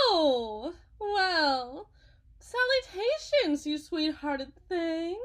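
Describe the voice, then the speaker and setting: Woman playing a high-voiced, grandiose faith healer. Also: fairly bright tone, heavily acted. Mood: grandly welcoming. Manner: theatrical, smooth-talking salesperson patter with dramatic pauses. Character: grandiose, folksy con-artist faith healer, self-styled prophet. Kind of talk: scripted ASMR roleplay monologue.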